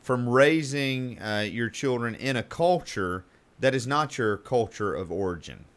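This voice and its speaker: A man's voice: medium pitch, animated, a little slow, a bit bright. A man hosting an interview, asking careful, hedging questions. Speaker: show host.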